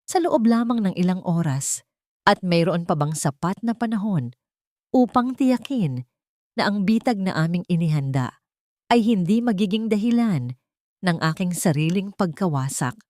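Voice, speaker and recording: A woman reading a scripted first-person story with deliberate, dramatic phrasing. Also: medium pitch, darkish tone, no room sound, studio quality. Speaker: audio story narrator.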